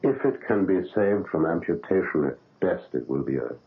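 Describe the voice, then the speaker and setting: A man acting a grave older doctor and father with solemn, halting delivery in a 1970s radio drama. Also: low voice, expressive, vocal fry, a little hiss or hum. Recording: muffled, tinny vintage radio broadcast.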